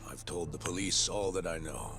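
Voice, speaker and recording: An actor playing a weary, formal institute director in a video game dub. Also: male voice, low-pitched, moderate pace, over loud music. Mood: weary, terse.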